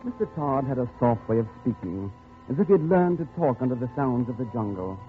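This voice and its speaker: An actor playing an educated, polite and weary traveller stranded in the jungle, in a radio drama. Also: male voice, medium pitch, very animated, fairly quick, soft background music, close to an everyday voice. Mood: reflective narrating. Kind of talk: 1950s radio drama acting and first-person narration. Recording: thin, lo-fi old radio recording.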